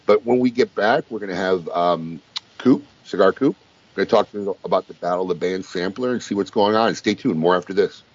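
A man's voice: deep voice, brisk. A man co-hosting a talk show, telling stories in a casual, teasing banter. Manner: teasing storyteller. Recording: muffled lo-fi live stream audio.

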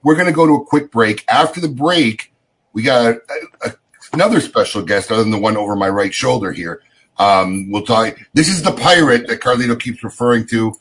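A man's voice: medium pitch, a little fast, a bit bright, slightly rough, low-quality recording. A man hosting a talk radio show, chatting casually and hyping the next segment. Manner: upbeat, promo-style sign-offs.

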